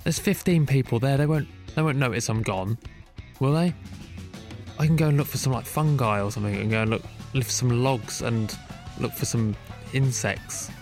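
A man's voice: medium pitch, normal speed, darkish tone, soft background music. A young British man hosting a nature podcast in a chatty, self-mocking way, laughing at his own slips. He sounds bunged up from a cold. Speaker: podcast host. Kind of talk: casual chat.

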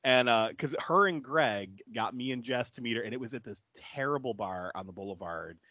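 A man in his thirties co-hosting a podcast, telling an animated anecdote with quick asides. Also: medium pitch, no room sound. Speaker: podcast co-host. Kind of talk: casual chat. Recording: dry, dull lo-fi audio.

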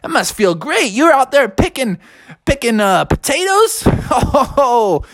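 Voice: high-pitched, very animated, slow, pitched above the performer's own voice, full character voice. A storyteller voicing friendly, laid-back farm pigs who talk in a chill, drawling way. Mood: lazy.

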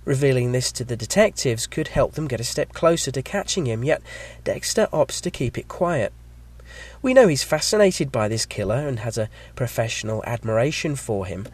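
A man with a slight cold and a croaky voice, hosting a podcast and reading a measured, scripted episode review. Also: mid-range voice, lively intonation. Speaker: podcast host.

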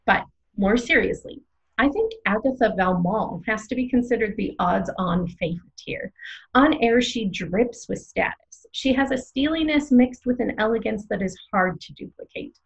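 Casting: actress as opinionated theatre pundit, female, highish creaky voice, rhetorical and emphatic, slightly muffled sound. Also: normal speed, close to an everyday voice. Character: opinionated, theatrical woman co-hosting a casting-odds show. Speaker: co-host of a theatre prediction show. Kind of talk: scripted podcast banter and commentary.

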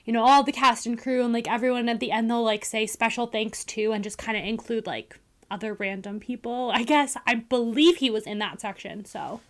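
Podcast co-host, woman, slightly higher voice, relaxed conversational delivery. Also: fairly quick. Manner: conversational, uses "like" often, sure of herself. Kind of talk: casual movie podcast chat.

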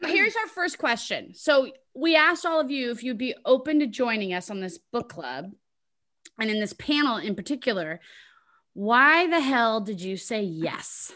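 Bright, lively woman host, chatty and laughing. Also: fairly high pitch, a little slow. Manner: chatty, laughing, swears freely. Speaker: podcast host. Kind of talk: casual panel chat.

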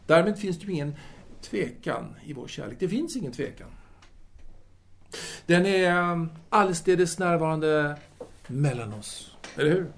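Man playing a high-voiced, wordy philosopher. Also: expressive, creaky. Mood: rationalizing. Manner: hesitant, wordy, formal. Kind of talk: audio drama dialogue.

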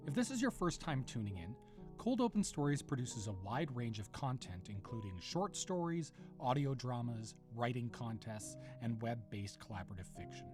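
A man's voice: mid-range voice, big pitch swings, moderate pace. A man hosting an audio drama production, giving a friendly scripted outro with thanks and credits. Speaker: producer and show host. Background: guitar music.

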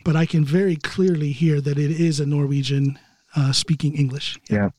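A man's voice: fairly high pitch, steady tone, normal speed, a little mellow, no echo. A man hosting a podcast, chatting casually and agreeably, often repeating words as he starts sentences. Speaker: podcast host.

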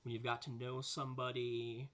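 Podcast host guy, bright voice, mid pitch, lots of um and like. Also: flat delivery, moderate pace. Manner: easygoing questions with fillers. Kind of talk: casual chat.

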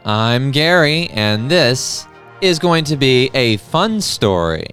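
A man hosting a podcast in a casual, folksy, halting way. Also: medium pitch, very animated, a bit bright, soft background music. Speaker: podcast host.

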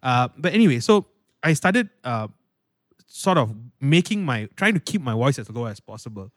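A man with a baritone voice hosting a podcast, chatting casually in a playful, self-deprecating way. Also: very animated, moderate pace, a bit bright, no room sound, studio quality. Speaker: podcast host.

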